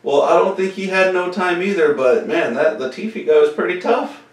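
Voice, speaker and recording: A man's voice: sits high, normal speed. A young man co-hosting a casual sports podcast, laid-back and agreeable, often saying "dude.". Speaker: podcast co-host. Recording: roomy, distant mic.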